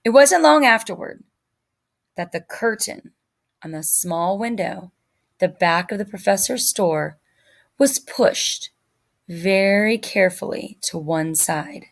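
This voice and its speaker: A woman's voice: medium pitch, expressive, slow, gravelly. A woman teacher narrating a children's novel as a read-aloud.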